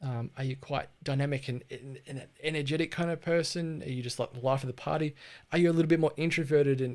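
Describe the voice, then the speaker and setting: A man's voice: mid-range voice, a little mellow. A man with an Australian accent hosting a casual livestream on branding, chatty and laid-back, with frequent ums and restarts. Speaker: livestream host teaching branding.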